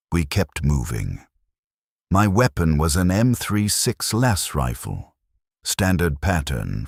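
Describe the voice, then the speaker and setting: Man, extremely deep voice, lively pitch movement, grim matter-of-fact war story narration, scripted and dramatic. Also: no echo, studio quality. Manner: grim, matter-of-fact delivery in short declarative sentences.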